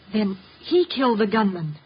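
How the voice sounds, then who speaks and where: Earnest, animated young woman. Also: mid-range voice, a little slow, slightly rough, exaggerated voice. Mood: shocked. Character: gentle, earnest young woman caught in murder case. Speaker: radio actress in a detective series. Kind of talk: 1950s radio drama acting. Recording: muffled 1950s radio recording, faint noise.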